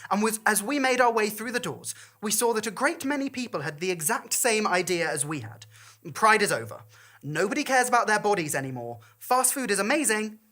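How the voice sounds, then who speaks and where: A woman's voice: medium pitch, a bit bright. A woman performing a comic storytelling monologue in a wry, campy, theatrical manner.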